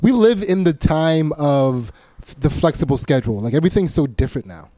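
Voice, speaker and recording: The fellow behind a small podcast desk, a mellow, slightly high male voice feeling its way through loose conversation. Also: lively intonation, brisk, dry recording. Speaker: podcast host. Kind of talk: casual conversational chat.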